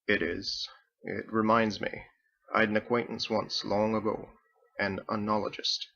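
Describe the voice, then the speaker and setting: A man acts out an educated ship's captain in an audiobook, drowsy and musing. The voice is quiet, low-key and creaky, with bookish, unhurried phrasing. The recording is a little dull. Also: level tone, full character voice.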